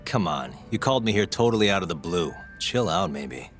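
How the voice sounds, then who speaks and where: A man's voice: low-pitched, animated, a little fast, faint music behind. A man acting as a laid-back, dry-witted private detective in a video game, talking casually in few words. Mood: dry, relaxed. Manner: casual, laconic, understated. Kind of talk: English game dub dialogue.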